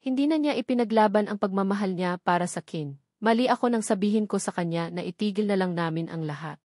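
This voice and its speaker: A woman's voice: mid-range voice, quick pace, a little mellow, no echo, clean studio sound. A woman with a Filipino accent reading scripted narration from a romance novel. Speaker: audiobook narrator.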